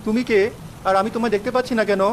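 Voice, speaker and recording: A woman's voice: fairly high pitch, fairly even intonation, rapid-fire, background noise, close to an everyday voice. A woman voicing a sad, humble young village boy in a children's fairy-tale cartoon, earnest and pleading. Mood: curious. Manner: plaintive, pleading. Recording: music under the voice.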